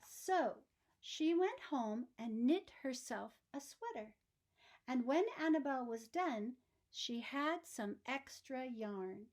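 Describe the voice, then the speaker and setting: A woman narrates a children's story in a high voice. Her pace is slow and deliberate. She reads expressively, with emphasis suited to young listeners. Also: expressive, darkish tone.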